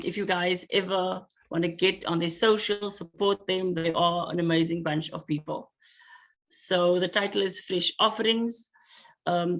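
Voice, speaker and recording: Man reading poetry slowly. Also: sits high, a bit bright. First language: Afrikaans. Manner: slow, measured recitation with hesitant asides between pieces. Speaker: poet.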